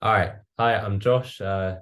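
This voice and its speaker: Young guy with a deep voice, student doing an interview from half-scripted questions. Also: steady tone, unhurried, a bit bright. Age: young adult.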